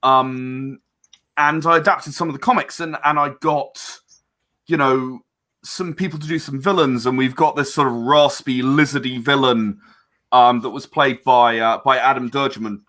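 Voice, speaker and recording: A man's voice: mid-range voice, steady tone, moderate pace, sharp tone. A man speaking as an interview guest about his own creative project, enthusiastic, effusive and discursive in his explanations.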